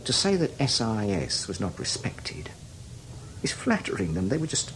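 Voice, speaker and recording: An actor playing a seasoned, cynical older British spy in a radio drama, talking in a wry, knowing, emphatic way. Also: male voice, fairly low pitch, huge pitch swings, moderate pace, fairly bright tone, whispered. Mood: scornful, explanatory. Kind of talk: radio drama acting, conversational.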